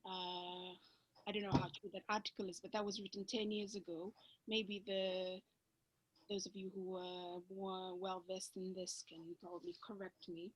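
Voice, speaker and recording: A woman with a Fijian accent, a native Fijian speaker, talks through a video call in a panel discussion. She is thoughtful and hesitant, pausing often on filler sounds, with a voice of ordinary pitch. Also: level tone, poor audio. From Fiji.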